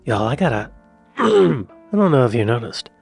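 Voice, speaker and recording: A husky, soft-spoken American man chats casually as he reacts to a video. He muses aloud, trails off and often repeats himself. Faint music sits under his voice. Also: medium pitch, sing-song, moderate pace. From United States. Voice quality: soft quiet voice.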